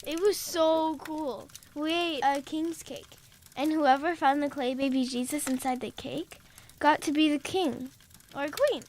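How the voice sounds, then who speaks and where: Woman doing a teen girl, high voice, earnest, faint noise. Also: measured pace, a little mellow. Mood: curious. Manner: tearful, apologetic. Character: teenage girl Anne, remorseful sister.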